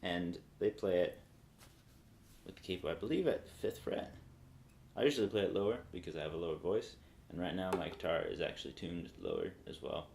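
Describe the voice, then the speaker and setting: A young man in his twenties casually teaching a song on guitar, rambling and thinking aloud as he goes, seated in a small bright room and recorded by a camera a few feet away. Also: low voice, brisk, creaky, a little hiss or hum. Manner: relaxed, rambling, thinks aloud mid-sentence. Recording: echoey room with acoustic guitar.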